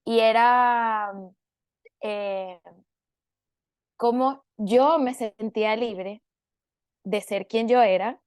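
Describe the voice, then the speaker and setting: A hoarse woman with a Venezuelan accent, a photojournalist giving a relaxed, unhurried talk over a video call, with many filler pauses. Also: fairly high pitch, no echo, clean studio sound. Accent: Venezuelan Spanish. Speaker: photojournalist guest speaker. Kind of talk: relaxed talk on an online panel.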